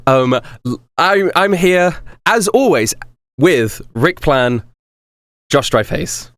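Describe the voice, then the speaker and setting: Animated, higher-pitched man hosting a game. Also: slow pace, dry recording. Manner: giggly, animated. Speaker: game master and host. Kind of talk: casual banter hosting a tabletop game.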